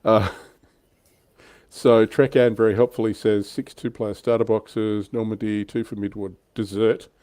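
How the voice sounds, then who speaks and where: A man's voice: on the low side, flat delivery, a little slow, dark tone, dry recording, studio quality. A man hosting a casual livestream chat about tabletop wargaming in an informal, conversational way. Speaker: livestream host.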